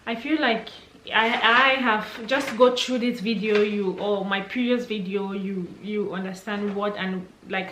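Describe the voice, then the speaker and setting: A woman's voice: medium pitch, fairly bright tone. A young woman, chatting casually and encouragingly as she answers viewer questions, thinking aloud as she goes. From Cameroon, English-speaking region. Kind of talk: casual Q&A chat. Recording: echoey room with faint noise.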